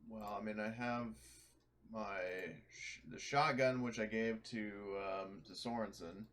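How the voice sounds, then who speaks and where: A man's voice: on the low side, steady tone, a little slow, a bit bright. A man talking casually as a player in a tabletop roleplaying game.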